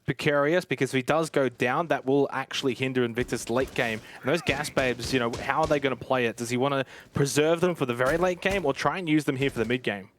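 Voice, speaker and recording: A man's voice: mid-range voice, quick pace, hardly any pauses, a bit bright, a little hiss or hum. Chatty male esports color commentator. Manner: chatty, explanatory, addresses viewers as guys. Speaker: esports analyst.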